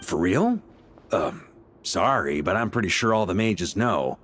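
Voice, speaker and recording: A man's voice: sits low, very animated, unhurried, fairly bright tone, heavily acted. A man voicing an easygoing, determined adventurer in a video game, talking in a casual, frank way. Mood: apologetic.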